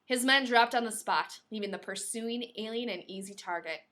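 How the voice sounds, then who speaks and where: A woman with a fairly high voice hosts a podcast, reading fiction aloud in an expressive audiobook style. She is playful and slips easily into casual joking asides. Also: normal speed.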